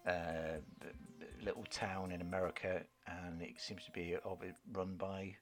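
A man co-hosting a podcast, chatting casually about film trailers in a husky voice, rambling and searching for words. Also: sits low, flat delivery, moderate pace, faint music behind. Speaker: podcast co-host.

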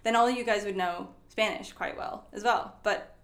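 A woman's voice: mid-range voice, steady tone, moderate pace, a bit bright, reverberant. A young woman from Sweden who grew up partly in the States, speaking English with an American accent. Her native language is Swedish, and she chats in a rambling way with many fillers and restarts. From Sweden and the United States. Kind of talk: casual chat.

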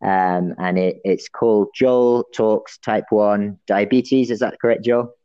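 A man's voice: fairly low pitch, fairly even intonation, slow pace, dark tone, smooth, no room sound, dull audio. A man interviewing a guest in a friendly, encouraging way who laughs easily. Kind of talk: casual interview.

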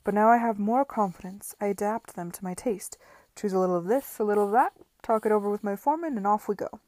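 Brief: female reader doing a frazzled, excited man, loud and hurried, mellow tone. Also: medium pitch, no echo, natural voice. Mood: eager. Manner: loud, eager, rushed. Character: flustered excitable gentleman builder, scattered but eager.

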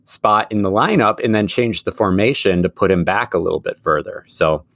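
A low-voiced man co-hosting a casual sports podcast, chatty and quick to laugh. His voice sits close and dry, with no room sound around it. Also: gravelly, a bit bright, dry recording. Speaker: podcast co-host; middle school teacher.

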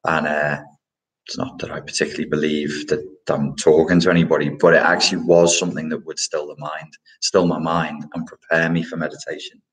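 A man's voice: rumbling low, level tone, brisk, fairly bright tone, rough recording. A man hosting a casual live question-and-answer stream, talking in a rambling, reflective way with many fillers and restarts. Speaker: livestream host answering chat questions.

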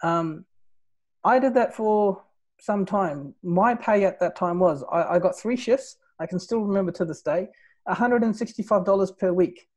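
A man's voice: high voice, normal speed, darkish tone. A man from Nepal in his thirties, a podcast guest telling his own story in casual talk, leaning on "right?" and "like, you know".

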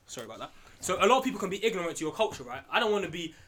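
A young man rattles along at a rapid clip, his voice high-set and bright. He talks earnestly and in loops, circling back over his words as he builds a point in a relaxed panel chat. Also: a little hiss or hum. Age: young adult. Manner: earnest, rambling with repeated words. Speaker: podcast panelist. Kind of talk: casual discussion.

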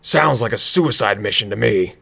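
Man acting a gravelly outcast stone superhero. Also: on the low side, moderate pace, sharp tone, exaggerated voice. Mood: sceptical. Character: aggrieved, awkward outcast male stone superhero. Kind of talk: comedic scripted audio drama acting.

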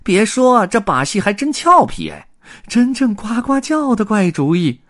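Excitable storybook circus worker done by a male narrator, pitched high, exclaiming, marvelling, loud. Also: measured pace, heavily acted. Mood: excited.

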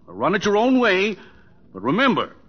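A man's voice: high voice, huge pitch swings, normal speed, husky, heavily acted. An actor playing a proud, exasperated middle-aged rancher father in an old radio drama, brusque and lecturing. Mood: brusque, commanding. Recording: dull old radio recording.

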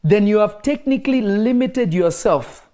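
A man who grew up in Kenya, preaching in a relaxed interview and driving his points home by repeating key phrases. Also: high voice, moderate pace. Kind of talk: conversational preaching.

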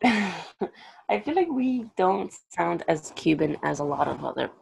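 A young woman co-hosting a podcast, chatting casually in a quick, hesitant way and leaving thoughts half-finished. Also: lowish voice, expressive, gravelly, a little mellow, slight background noise, low-quality recording. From United States. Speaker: podcast co-host.

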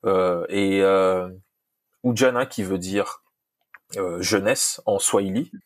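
Low-voiced Congolese coach, dry sound. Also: male voice, steady tone, takes it slowly, no echo. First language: Lingala. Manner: earnest, repeats himself, many restarts and fillers. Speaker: football coach, guest. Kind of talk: casual podcast interview.

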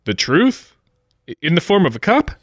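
An actor playing a brash, bluffing artifact hunter with slangy wisecracks, in 1940s radio style. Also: male voice, fairly high pitch, big pitch swings, moderate pace, dry recording, studio quality. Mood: eager, puzzled. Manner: old-time slangy wisecracking.

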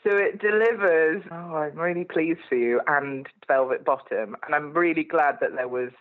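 A woman's voice: deep voice, moderate pace, phone-quality sound. A woman guest on a casual phone chat, bubbly and playful, often breaking into laughter.

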